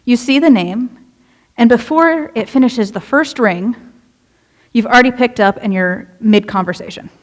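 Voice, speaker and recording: A woman's voice: fairly high pitch, normal speed. A young woman, a youth minister, preaching a casual, conversational sermon in a church and asking the congregation questions. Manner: chatty, relatable, asks the congregation questions. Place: church sanctuary.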